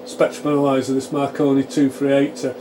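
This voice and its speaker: A man's voice: mid-range voice, fairly quick. A man giving an informal technical demonstration in a workshop, thinking aloud and explaining step by step as he works. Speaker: presenter of a radio repair channel. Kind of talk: informal technical demonstration, narrated live while working. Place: small home electronics workshop. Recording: steady fan and equipment hum. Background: cooling fans of test equipment.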